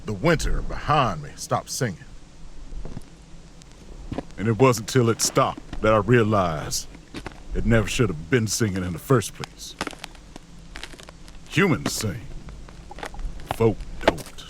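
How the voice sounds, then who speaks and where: A man's voice: on the low side, expressive, slow, pauses often, a little hiss or hum. A man acting in an audio drama as a weary, protective, middle-aged traveler, speaking in a grave, folksy storytelling way. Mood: haunted, grave. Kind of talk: audio drama acting and first-person narration.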